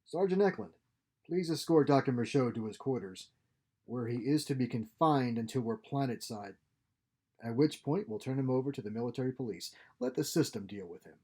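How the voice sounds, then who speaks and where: A man's voice: medium pitch, expressive, moderate pace, dark tone, husky. A man voicing a stern, threatening starship captain with clipped, commanding politeness in a story read aloud.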